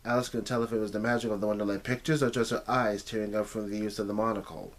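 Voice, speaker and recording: A man's voice: lowish voice, steady tone, quick pace. A man narrating a story as if for an audiobook, occasionally stumbling and repeating phrases as he reads.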